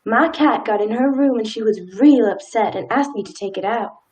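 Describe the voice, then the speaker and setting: A woman playing a polite, sympathetic small-town wife, gentle and hesitant, in a live radio play. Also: on the high side, moderate pace, lightly acted, rough recording. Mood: pensive.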